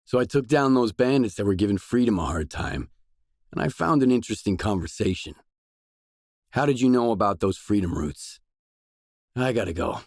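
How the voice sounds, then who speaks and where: A hard-bitten mercenary loner from a video game, a man with a low, deep voice. He is guarded and businesslike, talking in short, matter-of-fact lines. The sound is dry and close, with no room around it. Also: a little mellow, husky, no echo. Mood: terse, composed. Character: gruff, pragmatic male stalker mercenary, guarded and businesslike. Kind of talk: video game dialogue acting.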